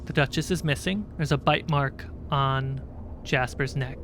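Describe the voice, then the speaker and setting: A man acting as game master, improvising narration for a tabletop game in short, halting phrases. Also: mid-range voice, a bit bright, slight background noise. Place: indoor livestream.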